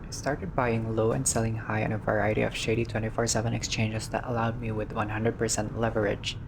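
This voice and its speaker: Creaky-voiced man reading game dialogue. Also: lowish voice, fairly quick, background noise. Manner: expressive, slangy asides. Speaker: gaming video player reading dialogue aloud. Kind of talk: casual playthrough reading and reacting.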